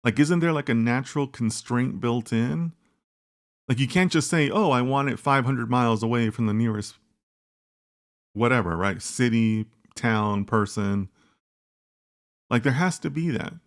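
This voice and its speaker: Rambling, gravelly-voiced male livestream host. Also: mid-range voice, unhurried, pauses often. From United States. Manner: rambling, conversational, many "like" and "right?" tags. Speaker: host of a live data science chat. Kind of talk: casual livestream chat reading viewer comments.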